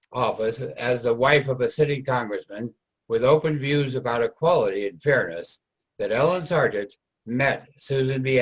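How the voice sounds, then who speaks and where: A man's voice: medium pitch, slightly rough, rough recording. A man narrating a scripted historical reader's theater piece.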